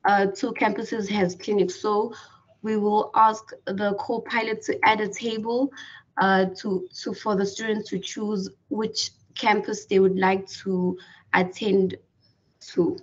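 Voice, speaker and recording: Slow, flat-voiced woman. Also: medium pitch. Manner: hesitant, many fillers and restarts. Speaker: tutorial presenter. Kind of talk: screen-recorded software tutorial. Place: voice-over on screen recording. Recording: distant, dull lo-fi mic.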